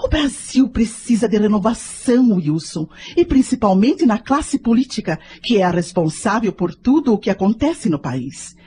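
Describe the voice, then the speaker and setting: A woman's voice: medium pitch, very animated, moderate pace, few pauses, dark tone, slight background noise, lightly acted. A Brazilian woman playing a frank, exasperated middle-aged mother and politician's wife in a radio drama, articulate and blunt. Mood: indignant.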